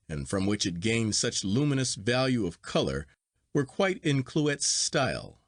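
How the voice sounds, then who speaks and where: Man narrating with lively, swooping intonation. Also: mid-range voice, no echo, studio quality. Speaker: audiobook narrator. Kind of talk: literary story narration with acted dialogue.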